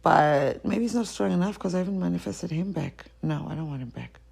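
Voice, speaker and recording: Gravelly, husky woman musing aloud. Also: fairly low pitch, brisk, darkish tone. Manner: rambling and self-questioning, trailing off into whispers. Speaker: podcast host. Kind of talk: solo confessional chat.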